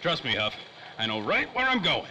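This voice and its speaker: A man's voice: on the high side, wildly swooping pitch, normal speed, sharp tone, a little hiss or hum, heavily acted, poor audio. A man playing a hardboiled, wisecracking private detective in a 1930s-style radio drama, with clipped tough-guy talk. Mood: firm, urgent.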